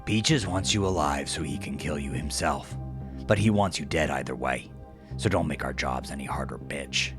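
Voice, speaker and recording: Man playing a gravelly, very deep, sneering gunman. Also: normal speed, over music. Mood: cold, mocking. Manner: taunting, profane, clipped threats. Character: slick, menacing young male gunman, weary and sneering.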